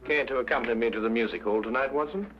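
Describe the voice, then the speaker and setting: An actor playing a cool, inquisitive gentleman detective, musing and courteous, in an old-time mystery drama. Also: medium pitch, very fast, soft background music, natural voice. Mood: intrigued. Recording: dull old radio recording.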